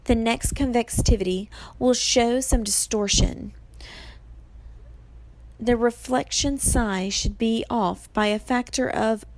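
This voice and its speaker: A clever, bossy young heroine acted by a woman narrator, pitched high above a normal reading voice. She sounds urgent and insistent, in a dry storybook recording. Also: slow pace, no room sound, low-quality recording. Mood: brisk, instructing.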